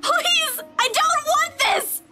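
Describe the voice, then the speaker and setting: A woman's voice: very high voice, takes it slowly, loud music behind the voice. An actress playing a distressed, haunted young woman in a visual novel game. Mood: terrified, pleading.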